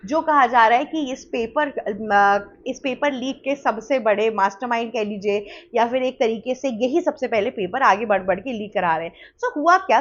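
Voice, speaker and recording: A woman's voice: on the high side, muffled recording. An Indian woman explaining news as a presenter, conversational and emphatic, mixing in English words.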